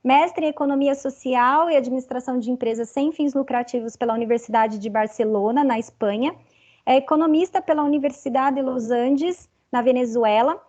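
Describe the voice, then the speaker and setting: A woman's voice: sits high, darkish tone, lo-fi sound. A woman with a Brazilian Portuguese accent moderating an academic webinar, reading statistics carefully and adding "né" often. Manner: careful, reads figures, frequent "né". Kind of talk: academic panel presentation.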